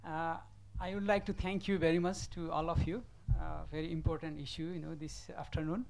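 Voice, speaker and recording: A man from Nepal commenting from the audience at a conference, halting and full of fillers. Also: on the high side, level tone, gravelly, a little mellow. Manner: halting, many fillers and restarts. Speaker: audience member asking a question.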